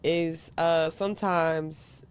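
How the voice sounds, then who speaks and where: Relaxed, low-voiced woman producer. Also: extremely slow, smooth. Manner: relaxed, confident, filler-heavy. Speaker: music producer and teaching artist. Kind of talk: casual interview chat.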